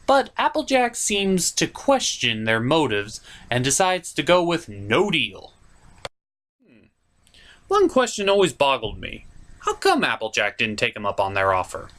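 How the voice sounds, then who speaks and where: A man hosting a scripted cartoon review, speaking in a sarcastic, emphatic way with drawn-out stresses. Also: a little high, huge pitch swings, pushed and bright. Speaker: reviewer, show host.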